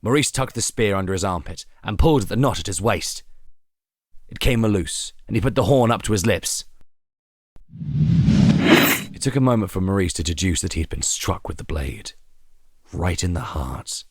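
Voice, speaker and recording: A man's voice: low voice, expressive, moderate pace, pauses often, slightly rough, no echo, clean studio sound. A man reading a dramatic scripted story as its narrator.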